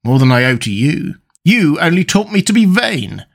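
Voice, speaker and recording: A callous young gentleman of an audiobook classic, acted out by a male narrator, with a raised, highly animated voice. He is vain and languidly self-absorbed, and every phrase comes out affected and theatrical. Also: normal speed, gravelly, full character voice. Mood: defensive. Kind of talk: dramatised audiobook reading of a classic novel.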